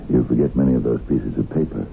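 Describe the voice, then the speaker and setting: A man's voice: extremely low pitch, creaky, heavily acted. An actor playing an ambitious, money-minded young businessman in a radio drama, hesitant and stammering in manner. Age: young adult. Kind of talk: 1970s radio drama acting. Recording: tinny, noisy old radio broadcast.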